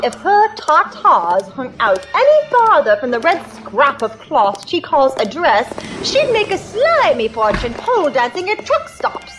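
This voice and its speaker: A woman's voice: high-pitched, wildly swooping pitch, unhurried, few pauses, a bit bright, soft background music, full character voice, low-quality recording. A woman playing a brash, sardonic, impatient young society woman in an audio drama. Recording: clear background noise.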